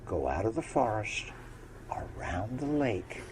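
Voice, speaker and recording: A man voicing a kindly, wise old male frog in a deep, frogish voice. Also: very animated, takes it slowly, darkish tone, slight background noise, poor audio. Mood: advising.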